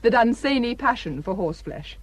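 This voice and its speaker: A woman acting a frank young village doctor with a quiet sadness in a radio drama. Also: fairly high pitch, animated, fairly quick, breathy edge, dry recording, poor audio. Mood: subdued, confiding.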